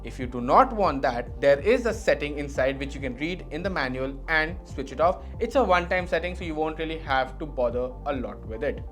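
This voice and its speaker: A man giving an explanatory product review in a measured way, leaning on key words for emphasis. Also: a little high, expressive, a bit bright, soft background music.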